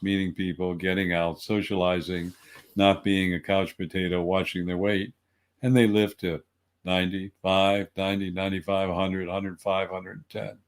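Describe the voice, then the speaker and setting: An older man giving an earnest motivational wellness talk, with lively bursts of emphasis. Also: sits low. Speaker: wellness speaker.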